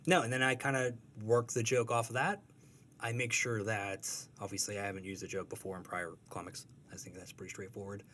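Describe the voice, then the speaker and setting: A man's voice: lowish voice, steady tone, fairly quick, fairly bright tone. Man, young adult, comic strip creator, casual interview guest, rambling, self-deprecating, faint background hum.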